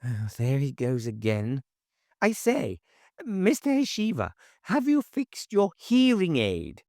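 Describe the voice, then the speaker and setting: A man voicing a nosy, bustling older English housekeeper in a high, reedy, loud voice for an audiobook. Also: wildly swooping pitch, slow, dry recording, studio quality, exaggerated voice. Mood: startled, flustered. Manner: shrill, gossipy, indignant chatter. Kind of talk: audiobook character voice.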